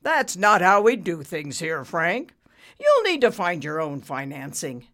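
Woman voicing a loud, bullying male boss. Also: on the low side, sing-song, a little slow, exaggerated voice. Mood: smug, dismissive. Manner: barking, demanding, dismissive. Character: rude, bullying, arrogant male bank boss. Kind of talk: audiobook character voice.

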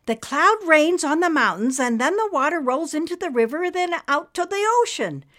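A woman's voice: high voice, normal speed, pitched above the performer's own voice. A woman voicing an eager, insistent young girl. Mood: urgent, excited. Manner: urgent, excited, pleading.

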